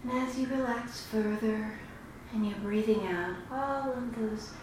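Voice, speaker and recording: A woman guiding a meditation in a slow, soothing, instructive way with long pauses. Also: on the high side. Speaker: meditation guide. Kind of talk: guided meditation. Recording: very echoey room, clearly noisy background.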